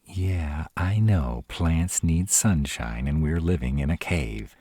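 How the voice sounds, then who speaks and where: A man playing a gruff but tender, protective were-dragon boyfriend in a romantic fantasy audio roleplay, speaking to the listener with teasing care and hesitant pauses. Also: very deep voice, animated, mellow, dry recording, clean studio sound, exaggerated voice. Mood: defensive, reassuring. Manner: tsundere, teasing yet caring, hesitant pauses.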